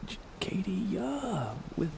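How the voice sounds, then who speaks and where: A man speaking softly and gently in an unhurried ASMR style while chatting on a live gaming stream, his voice hushed and at times close to a whisper. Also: high-pitched, sing-song, gravelly, noisy background. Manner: gentle, unhurried, thinking aloud. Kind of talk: soft-spoken ASMR gaming stream chat.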